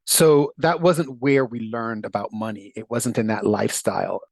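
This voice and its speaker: A man's voice: fairly low pitch, normal speed, creaky, no room sound. A middle-aged man from Jamaica, telling his story as an interview guest in a thoughtful way that laughs easily. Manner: thoughtful, warm, laughs easily, occasional restarts.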